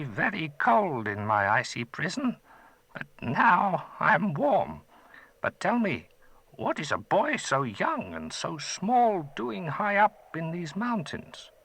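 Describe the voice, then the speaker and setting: A man voicing a kindly old male magician who speaks gravely and gives solemn warnings in a storybook. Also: high-pitched, fairly bright tone, pitched above the performer's own voice, full character voice, poor audio.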